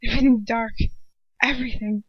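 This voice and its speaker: A woman's voice: a little high, steady tone, a little fast, breathy edge, dry recording. A woman reading a story aloud as an amateur narrator, in a dramatic first-person style. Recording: dull lo-fi home recording.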